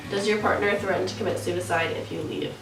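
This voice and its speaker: A nineteen-year-old woman with a notably low voice speaks softly and haltingly, hedging as she goes. Her voice sounds distant in an echoey room, with music playing clearly behind. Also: steady tone. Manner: soft, hesitant, with fillers. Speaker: student speaker. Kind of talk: earnest awareness talk.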